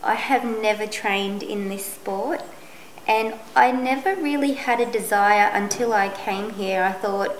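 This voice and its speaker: A woman's voice: medium pitch, measured pace, roomy sound, slight background noise, poor audio. A young woman with an Australian accent, answering interview questions in short, upbeat phrases.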